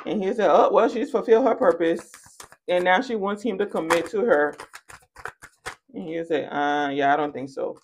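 A woman's voice: on the low side, normal speed, noisy background. Woman giving a casual tarot reading. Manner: playful, animated storytelling with quoted asides and slangy endearments. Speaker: tarot reader and channel host. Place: indoors at a card table.

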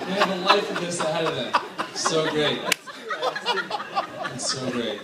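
A man's voice: fairly high pitch, lively intonation, measured pace. A man in his thirties giving a joking best man's toast on a handheld mic in a large wedding tent, chatty and laughing between lines. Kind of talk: casual humorous speech to a crowd. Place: large wedding tent with a dance floor, indoors. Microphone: handheld microphone through speakers, filmed from afar. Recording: echoey tent heard from far off, loud crowd and noise. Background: wedding guests laughing and cheering.

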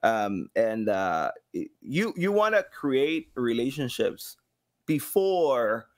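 Man chatting haltingly as an interview guest. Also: mid-range voice, big pitch swings. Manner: halting, thinks aloud, acts out imagined quotes. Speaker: podcast guest, game sound designer. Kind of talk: casual interview chat. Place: small home room with slanted ceiling, indoors.

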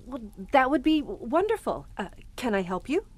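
An actress playing a polite but uneasy, inquisitive young newlywed wife in an audio drama. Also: female voice, high-pitched, sing-song, normal speed, darkish tone, dry recording. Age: young adult.

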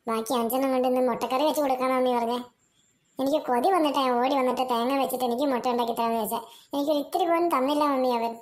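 A woman's voice: high-pitched, very fast, a little mellow, voice pushed up, close to an everyday voice. A woman voicing a young boy who whines and pleads with his mother. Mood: telling tale. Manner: whiny, pleading. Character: young boy, greedy and sulky, easily sorry.

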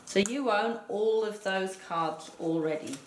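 A woman's voice: medium pitch, measured pace. A woman talking a partner through a board game like a teacher, explaining step by step and counting aloud. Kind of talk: instructional game play-through talk, addressing a partner. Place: indoor room, game on a table. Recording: roomy, distant mic with noise.

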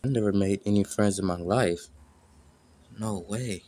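A man's voice: on the low side, a little slow, dark tone, lightly acted. A man voicing a lost, anxious young newcomer in an amateur game roleplay, hesitant and stammering. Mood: wary, tentative.

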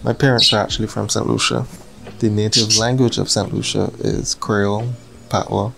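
A man's voice: lowish voice, level tone, normal speed, gravelly, over a noisy crowd. A man, casually talking to camera in a travel vlog with an easygoing, go-with-the-flow manner. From Barbados. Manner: laid-back, easygoing. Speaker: vlogger. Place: hotel room. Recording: background music under voice.

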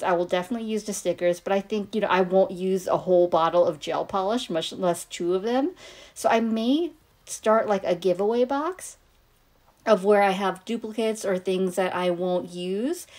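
A hoarse woman chatting easily through a voiceover for her nail unboxing and manicure video. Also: medium pitch. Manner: chatty, easygoing, thinking aloud. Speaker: nail vlogger.

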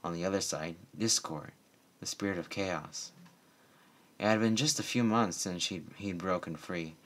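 A man's voice: sits low, moderate pace, a bit bright. A man narrating a story aloud as a reader of fan fiction.

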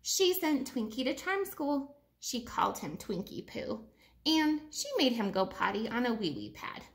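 A woman with a high voice reads a children's story aloud. Her intonation swings widely, and she phrases each line deliberately, leaning on key words for young listeners. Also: very animated. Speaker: teacher reading a picture book aloud to pupils. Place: living room at home, indoors.

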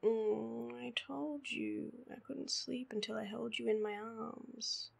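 An Australian woman playing a sleepy, needy, affectionate girlfriend in an intimate ASMR roleplay, drowsy and murmuring, with a husky, breathy voice. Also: fairly high pitch, steady tone, slow, exaggerated voice. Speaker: voice actor.

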